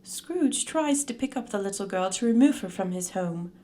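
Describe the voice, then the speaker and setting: A woman's voice: mid-range voice, animated, normal speed, warm tone, close to an everyday voice. A woman narrating a scripted radio drama in storytelling style.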